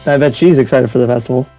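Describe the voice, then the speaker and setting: A man's voice: mid-range voice, mellow, close to an everyday voice. A man playing an easygoing, curious demon slayer in an improvised anime game roleplay, casual and hesitant. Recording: music behind.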